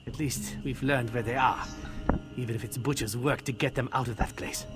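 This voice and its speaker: Gruff fantasy commander, played by a man over music. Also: medium pitch, fairly even intonation, normal speed, lightly acted. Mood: exasperated. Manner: blunt, folksy, sardonic. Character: gruff, sardonic male military commander in a fantasy game. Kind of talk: fantasy video game acting. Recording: game dialogue over prominent music.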